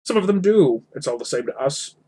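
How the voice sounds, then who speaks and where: A man's voice: fairly high pitch, big pitch swings, fairly quick, warm tone, breathy edge, voice pushed up. A man voicing a blunt, argumentative storybook dwarf in a brusque, businesslike manner. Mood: defensive, blunt. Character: blunt, argumentative male dwarf treasure hunter.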